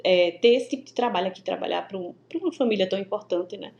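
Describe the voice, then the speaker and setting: A Brazilian woman from the Northeast telling her story as an interview guest over a video call, in a lively, stop-start way with many restarts. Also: medium pitch, fast, gravelly, muffled recording, a crowd in the background. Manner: animated, many restarts and stammers. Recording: echoey room with faint ambience.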